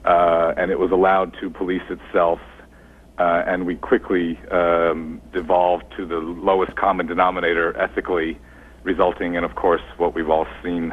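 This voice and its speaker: A man's voice: sits low, little pitch movement, moderate pace. A man calling in to a TV show, asking questions in a hesitant way with many fillers. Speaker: caller. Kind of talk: call-in question. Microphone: telephone. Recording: tinny phone line.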